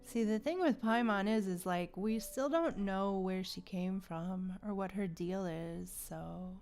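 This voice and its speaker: A woman's voice: medium pitch, a little slow, darkish tone, faint music behind. A woman livestreaming a game with casual, playful commentary, chatting to viewers and reacting aloud to what happens.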